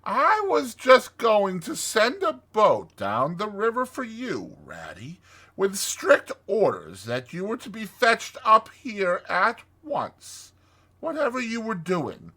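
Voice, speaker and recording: An excitable, boastful toad from a storybook. The voice is pitched way up and swings wildly, bright and loud, gushing in exclamations. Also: male voice, takes it slowly, full character voice. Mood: excited, welcoming. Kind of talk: dramatized storybook reading.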